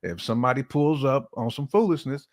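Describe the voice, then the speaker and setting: A man's voice: mid-range voice, brisk. A man giving his opinions in casual podcast conversation, restating his points with emphasis. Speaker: podcast speaker.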